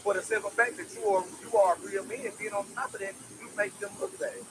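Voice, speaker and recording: A man's voice: sits high, fairly even intonation, quick pace, background noise. A man, giving a casual, preachy motivational monologue full of repetition and emphasis. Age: 20s. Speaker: motivational vlogger.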